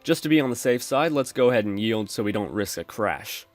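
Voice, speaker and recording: Steady man narrating a coding tutorial. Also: medium pitch, a little fast, faint music behind. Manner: steady explanatory, addresses viewers as folks. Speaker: programming tutorial host. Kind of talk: screen-recorded coding tutorial.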